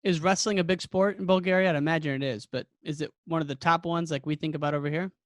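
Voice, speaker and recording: A man's voice: a little high, fairly even intonation, quick pace, no room sound, clean studio sound. A man hosting a podcast and interviewing a guest, upbeat and friendly. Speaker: podcast host.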